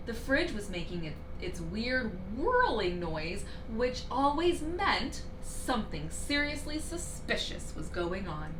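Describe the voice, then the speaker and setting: A woman reads a children's story aloud at an unhurried pace. Her delivery is lively and dramatic, with deliberate stress on important words. The recording is echoey, with clear noise behind her. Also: fairly high pitch. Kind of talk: expressive bedtime story read-aloud. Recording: roomy echo with clear background noise.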